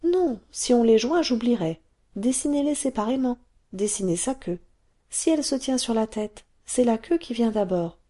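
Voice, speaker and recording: A woman voicing an excited, curious little cave girl who pleads and chatters. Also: a little high, normal speed, voice pushed up. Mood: explaining.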